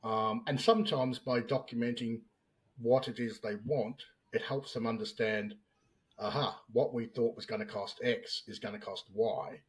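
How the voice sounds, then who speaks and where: A man's voice: mid-range voice, fairly even intonation, moderate pace. An Australian man in his fifties, a business analyst speaking as a podcast guest and explaining plainly with everyday examples. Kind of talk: podcast interview.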